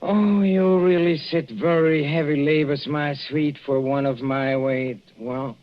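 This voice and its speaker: Slow, cloying husband played by a man, old radio. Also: on the high side, steady tone, lightly acted. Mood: evasive. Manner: slow, coaxing, oily endearments with small chuckles. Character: fussy, cloying older husband with veiled menace. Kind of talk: 1940s radio drama acting. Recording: dull, noisy old radio recording.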